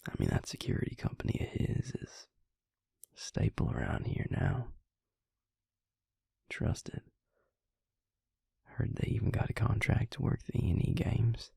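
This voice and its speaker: A man playing an affectionate, laid-back vampire mate in an intimate roleplay audio, speaking in a breathy, gravelly murmur with tender, hesitant pauses. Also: on the low side, wildly swooping pitch, warm tone, dry recording, studio quality, exaggerated voice. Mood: quietly admiring.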